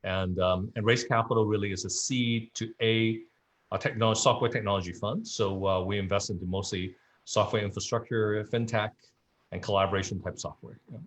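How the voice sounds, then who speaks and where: A man from Hong Kong, an investor on a video-call panel, talking in a relaxed way with restarts. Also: on the low side, fairly quick. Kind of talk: casual panel talk.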